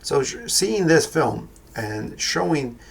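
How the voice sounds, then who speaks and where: A man's voice: medium pitch, animated, slow, creaky, slightly rough. A man with a New York accent giving a casual, rambling film review on his podcast, thinking aloud with frequent restarts. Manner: rambling, thoughtful, many restarts and fillers.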